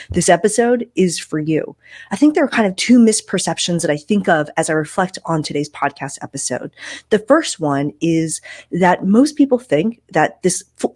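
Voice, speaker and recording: A woman's voice: fairly low pitch, darkish tone, dry recording, clean studio sound. A woman hosting a podcast, talking in an upbeat, emphatic, conversational way. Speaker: podcast host. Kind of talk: podcast intro and interview.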